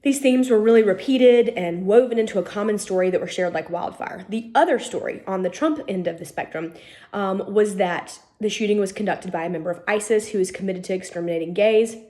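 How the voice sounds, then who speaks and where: A woman's voice: medium pitch, brisk, dark tone. Woman, lecturer, head cold, sniffly, casual online lecture, frequent fillers, laptop mic. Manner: chatty, informal, many "um" fillers. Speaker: college lecturer.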